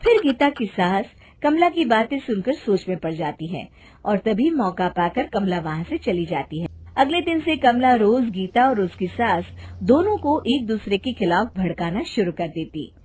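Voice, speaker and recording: A woman narrating an animated storybook in a plain, even storytelling manner. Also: medium pitch, normal speed, slight background noise.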